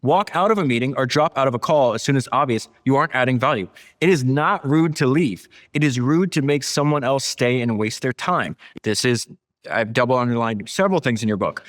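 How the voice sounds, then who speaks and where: A male podcast host with a mid-range voice and a quick pace. He speaks emphatically, repeating key phrases for stress as he reads out quotes and comments on them in conversation. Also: mid-range voice. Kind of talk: animated book discussion, reading quotes aloud and commenting.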